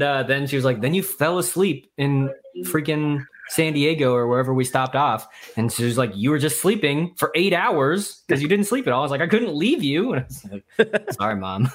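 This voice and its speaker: A man's voice: fairly high pitch, very animated, moderate pace, slight background noise. A man hosting a casual podcast chat, joking and laughing as he passes the turn to each guest. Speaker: podcast host.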